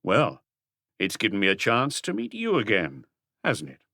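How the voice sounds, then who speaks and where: A man voicing a calm, ironic police inspector who questions in an unhurried, probing way in a mystery audiobook. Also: on the low side, vocal fry, dry recording, clean studio sound, heavily acted.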